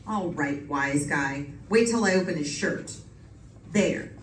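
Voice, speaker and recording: A woman playing a gruff, sarcastic, stubborn male homicide detective in old-time radio drama, with slangy tough-cop talk. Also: deep voice, lively intonation, slow. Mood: sarcastic, insistent. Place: live stage performance, mic at a distance. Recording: distant mic in echoey, noisy room.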